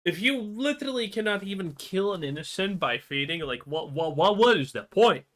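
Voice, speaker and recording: A man giving unscripted, blunt and critical reaction commentary on games, haltingly and with repeated words. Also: sits high, expressive, a bit bright. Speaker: video commentator reacting to another creator's video.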